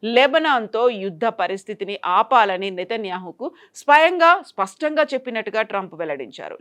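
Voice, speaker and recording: A woman's voice: medium pitch, fairly bright tone. A woman presenting scripted news in a formal, emphatic way.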